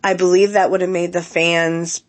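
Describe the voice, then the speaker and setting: Soft-pitched woman with a head cold. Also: flat delivery. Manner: halting, many ums, earnest opinions. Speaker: podcast host. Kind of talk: casual podcast commentary.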